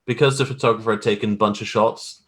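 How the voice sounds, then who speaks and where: A man with a fairly low voice talks as a guest in a casual interview. He is a graphic artist with an informal, self-deprecating manner. His delivery is wry and conversational. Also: fairly even intonation, fast talker, fairly bright tone.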